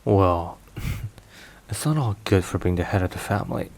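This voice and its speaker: A man playing a weary, caring mafia boss boyfriend in an ASMR roleplay, hushed and sighing between phrases. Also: low-pitched, a little slow, vocal fry, heavily acted. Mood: casually firm.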